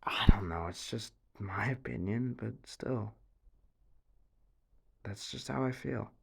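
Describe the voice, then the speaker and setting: A man playing a rattled young American small-town conspiracy believer in an audio roleplay, speaking earnestly in a halting, stammering, rambling confession over the radio. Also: fairly low pitch, gravelly, fairly bright tone, a little hiss or hum, exaggerated voice. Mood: subdued, uneasy.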